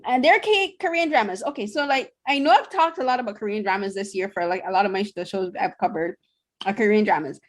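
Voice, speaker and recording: A young woman from Barbados co-hosting a podcast, chatting casually about shows she watched, with lots of fillers and restarts. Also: medium pitch. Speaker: podcast co-host.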